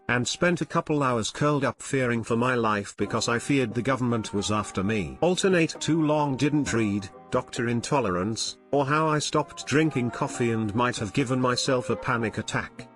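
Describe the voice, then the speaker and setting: A man's voice: medium pitch, fairly bright tone. Man narrating stories over music. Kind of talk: scripted narration of online stories. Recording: music bed behind voice. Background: background music.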